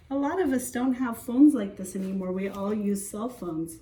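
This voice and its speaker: A woman's voice: mid-range voice, slow pace, mellow. A woman teacher reading a picture book aloud, chatting conversationally with young listeners. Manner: conversational, addresses child listeners. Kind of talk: picture-book storytelling with asides. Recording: roomy echo, distant from mic.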